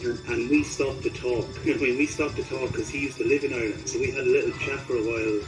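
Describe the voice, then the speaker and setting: A man's voice: mid-range voice, steady tone, fast. A man chatting casually as a voice actor guest in an interview. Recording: distant mic with music behind.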